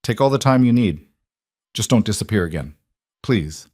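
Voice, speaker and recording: A man voices a remorseful, controlling mafia boss in an audiobook romance. He is a low-voiced husband, measured and insistent, in a dry recording. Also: expressive, a little mellow, slightly rough, dry recording. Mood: remorseful, pleading.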